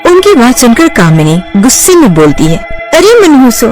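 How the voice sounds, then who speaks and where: A woman's voice: on the high side, sing-song, moderate pace, a little mellow, faint music behind. A woman reading scripted narration for a cartoon story.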